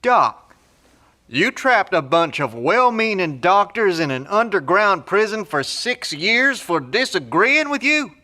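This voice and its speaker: A man's voice: high voice, sing-song, slow, sharp tone, full character voice. A man playing a blunt, folksy, skeptical post-apocalyptic survivor in an audio drama. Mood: incredulous. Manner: folksy, colloquial, drawling phrases.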